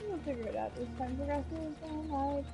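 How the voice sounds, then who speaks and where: A woman's voice: high voice, brisk, gravelly. A woman streaming a video game from her kitchen on a headset mic, chatting casually and echoing the characters' lines. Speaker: gaming streamer. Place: home kitchen. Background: game music.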